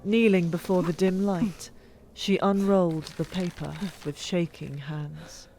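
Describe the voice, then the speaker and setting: Female audiobook narrator, lower register, dramatic fantasy storytelling with deliberate pauses, set over prominent music. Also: steady tone, darkish tone. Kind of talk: dramatic scripted fiction narration. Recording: music behind, older microphone.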